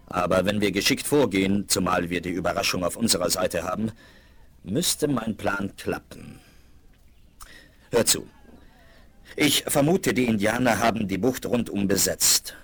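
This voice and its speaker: An actor playing a composed, decisive frontier hero in a 1970s German Western radio play, speaking in a measured, purposeful way. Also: male voice, sits low, slightly rough, exaggerated voice.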